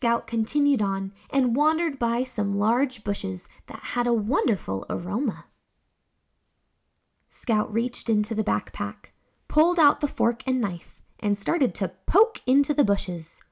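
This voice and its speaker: A young woman hosting a children's read-aloud, reading a storybook in an expressive, deliberate, exclamatory way. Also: on the high side, vocal fry.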